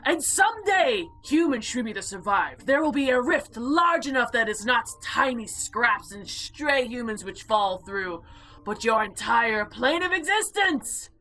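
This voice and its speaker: A woman voicing an imperious, condescending eldritch female being in a visual novel, speaking formally and deliberately. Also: on the high side, big pitch swings, pushed and bright, heavily acted, low-quality recording. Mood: proudly delighted. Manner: formal, haughty, deliberate speech. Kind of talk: visual novel character voice-over.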